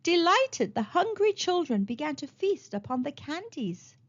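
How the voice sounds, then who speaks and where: A woman narrating a children's storybook aloud in an expressive storytelling style, on a slightly muffled recording. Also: high-pitched, measured pace.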